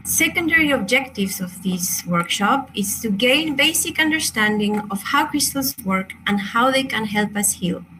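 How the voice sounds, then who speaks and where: A woman's voice: fairly high pitch, expressive, moderate pace, few pauses, pushed and bright, slight background noise, rough recording. A woman from Chile, a native Spanish speaker with a Chilean accent, presenting an online crystal workshop in a hesitant, apologetic way with many fillers and restarts.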